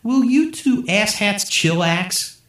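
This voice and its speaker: A man's voice: high-pitched, expressive, slow pace, fairly bright tone, reverberant, pitched above the performer's own voice, exaggerated voice. A man voicing Ken, a laid-back teenage stoner who drawls his slang. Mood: drawling.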